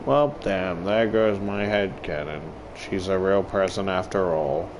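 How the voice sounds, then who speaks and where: Rambling man with slow, halting delivery. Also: sits low. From Australia. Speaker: YouTuber playing and reading a visual novel. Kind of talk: casual game commentary. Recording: clear steady air-conditioner background noise.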